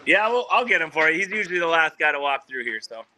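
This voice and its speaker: Soccer club dude on a video call at training, super bright voice, rambling and restarting. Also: male voice, on the high side, very animated, quick pace. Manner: rambling, full of restarts and fillers. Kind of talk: casual remote interview. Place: at a team training ground.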